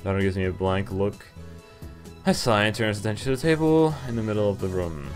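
Young man, lowish voice, game playthrough host, read-aloud narration, casual asides, soft music behind. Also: fast. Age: young adult. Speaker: gaming video host. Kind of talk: reading game text aloud with casual comments.

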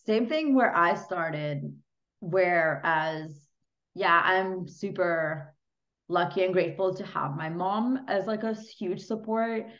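A woman's voice: fairly low pitch, slow, fairly bright tone. Chatty young woman on a video call. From Hungary. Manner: chatty, many fillers like "you know" and "like". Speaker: podcast guest. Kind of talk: casual interview chat. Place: small home room. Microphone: laptop webcam mic on a video call. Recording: dull lo-fi video call audio.

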